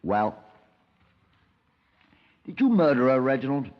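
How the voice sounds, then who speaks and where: An actor playing an impatient, sardonic police detective in a 1950s radio crime drama, clipped, dry and dramatic. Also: male voice, medium pitch, sing-song, slow pace. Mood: brusque, impatient. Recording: dull, band-limited 1950s radio recording.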